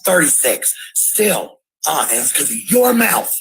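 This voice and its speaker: Female parent, very raspy, animated scolding in a sarcastic, combative domestic row. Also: deep voice, unhurried, low-quality recording. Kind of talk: heated domestic argument on a livestream.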